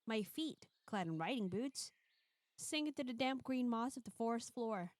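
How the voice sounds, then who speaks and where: A woman's voice: a little high, expressive, moderate pace, dry recording. A woman live-streaming, reading a visual novel aloud and chatting casually between passages.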